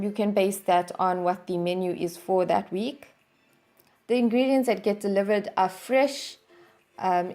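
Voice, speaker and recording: A woman hosting a channel, talking through a product review in a steady explanatory way with some fillers. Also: mid-range voice. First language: English. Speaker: channel host reviewing a service. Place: home in Norway.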